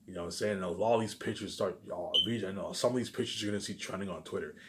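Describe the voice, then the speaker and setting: A man's voice: deep voice, fast, background noise. A man giving a rambling, informal monologue, repeating himself emphatically, swearing and restarting his phrases. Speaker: vlogger.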